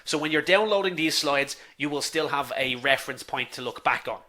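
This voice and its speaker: Man, Dublin accent, live online tutor, slightly high voice, bright tone, chatty, keeps saying folks. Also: a little fast. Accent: Dublin Irish. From Ireland. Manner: chatty, says "folks" often, reads viewer comments aloud. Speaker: online instructor.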